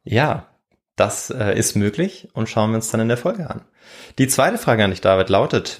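A man, hosting a history podcast and telling the story in a semi-scripted way. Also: mid-range voice. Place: warm indoor room.

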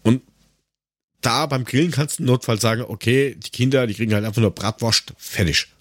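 A man's voice: medium pitch, a little fast, no room sound, studio quality. A man co-hosting a casual podcast, talking in a colloquial, rambling, slightly grumbling way. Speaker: podcast co-host.

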